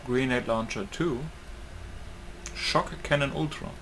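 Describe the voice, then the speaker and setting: A man giving casual gameplay commentary in short, muttered, low-key remarks. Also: slow, a bit bright, rough recording. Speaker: gamer, let's player. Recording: gameplay audio with clear noise behind.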